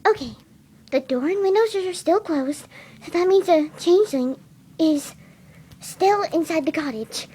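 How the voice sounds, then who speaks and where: A woman voicing a timid, gentle, motherly pegasus pony with a nervous, hesitant stammer in a story reading. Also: extremely high pitch, lively intonation, a little slow. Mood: anxious. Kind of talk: fan fiction dramatic reading.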